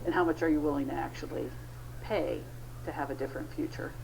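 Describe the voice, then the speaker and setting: A woman's voice: low voice, fairly even intonation, quick pace, gravelly, noisy background. A woman with an American accent, a county official, answering unscripted interview questions.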